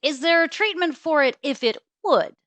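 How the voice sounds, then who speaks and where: A woman's voice: high voice, animated, moderate pace, a bit bright, no room sound, voice pushed up. A woman voicing an earnest, insistent young girl in a storybook, questioning and pleading. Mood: pressing, pleading. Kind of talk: storybook character voice.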